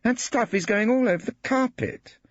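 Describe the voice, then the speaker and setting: A man's voice: very high-pitched, moderate pace, no echo, muffled recording, pitched above the performer's own voice. A man doing a prim, tart wife. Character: fussy proper middle-aged wife, tart.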